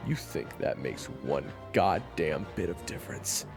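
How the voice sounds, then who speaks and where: A man's voice: rumbling low, lively intonation, unhurried, creaky, faint music behind, heavily acted. A man voicing a grim, vengeful gunslinger who growls threats in an audio drama. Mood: accusing.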